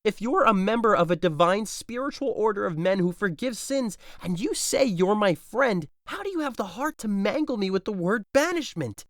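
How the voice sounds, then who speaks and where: A man's voice: sits high, expressive, normal speed, few pauses, no echo, clean studio sound. Man playing despairing young lover, widely swinging voice. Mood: anguished, indignant. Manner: melodramatic, anguished, declamatory. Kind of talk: modern-language Shakespeare audio drama.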